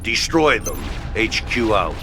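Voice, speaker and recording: An actor playing a gruff, brisk headquarters officer giving curt, commanding orders in a video game. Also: male voice, lowish voice, sing-song. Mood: curt, calm. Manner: curt, commanding, matter-of-fact. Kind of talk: video game mission control acting. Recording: loud music and engine noise behind.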